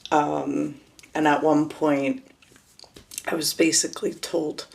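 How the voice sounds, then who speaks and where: A woman talking casually to camera as she introduces herself, in a monotone voice with hesitant pauses and restarts. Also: low voice, vocal fry, slightly rough. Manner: monotone, flat delivery; hesitant, many fillers and restarts.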